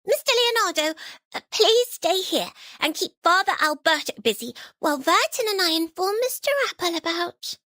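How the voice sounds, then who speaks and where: A woman's voice: very high-pitched, lively intonation, moderate pace, pushed and bright, dry recording, exaggerated voice. A woman voicing a plucky, polite young girl adventurer who takes charge in a game. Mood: determined. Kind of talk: game voice acting.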